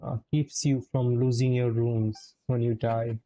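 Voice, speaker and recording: A man's voice: medium pitch, little pitch movement, a little slow, warm tone, poor audio. A man chatting casually as he live-streams a game, with game music and dialogue behind him. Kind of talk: casual chat while streaming.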